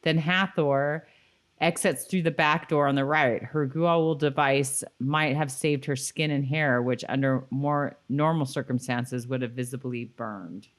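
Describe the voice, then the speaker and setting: A woman's voice: rumbling low, fairly even intonation, measured pace. A woman co-hosting a podcast, chatting in an informal, agreeable way and reading notes aloud. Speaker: podcast co-host. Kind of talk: casual chat about a TV episode, reading trivia aloud.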